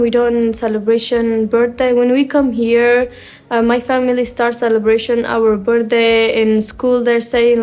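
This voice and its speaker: A teenage girl from Afghanistan, telling her school story in halting, simple learner English with many pauses. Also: a little high, a little mellow. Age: teens. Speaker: student. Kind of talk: personal testimony.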